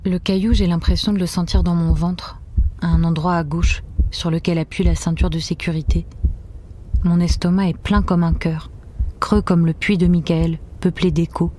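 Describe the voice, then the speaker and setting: A woman's voice: fairly low pitch, little pitch movement, moderate pace, mellow, slight background noise, lightly acted. A woman acting as an uneasy, guarded mother in an audio drama, speaking naturally in a subdued, hesitant way. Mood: introspective. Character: uneasy, guarded young mother leaving home with her child.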